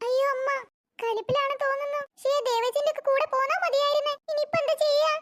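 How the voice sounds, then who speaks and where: A performer voicing a small, cheeky, whiny cartoon schoolchild in a pitched-up voice. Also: level tone, fast, a bit bright. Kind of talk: cartoon voice acting.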